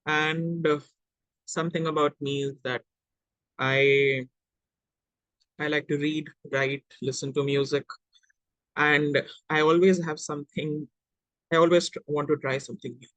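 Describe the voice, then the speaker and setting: A man's voice: a little high, little pitch movement, measured pace, long pauses, fairly bright tone, dry recording, rough recording. A man from India introducing himself on an online panel, hesitant and full of "uh"s. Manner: hesitant, with many "uh"s. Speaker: panelist, psychology-trained.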